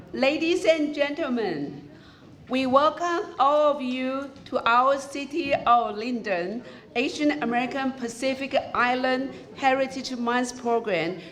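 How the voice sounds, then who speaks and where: Slow, careful woman emcee with a Chinese accent. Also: high voice, a bit bright. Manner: slow, careful, halting phrasing. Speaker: master of ceremonies. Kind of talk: formal ceremony speech.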